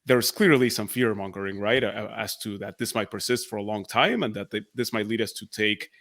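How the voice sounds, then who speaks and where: Male expert guest from Argentina, rapid delivery, slightly low pitch, informal, explanatory. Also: fairly bright tone. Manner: informal, explanatory, peppered with "like" and "right?". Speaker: economics professor, podcast guest. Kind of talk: casual explanatory interview talk.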